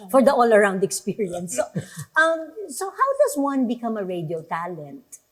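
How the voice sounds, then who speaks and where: Husky Filipino woman hosting. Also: mid-range voice, sing-song, a little slow. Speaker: radio show host. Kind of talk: casual talk show interview in Taglish.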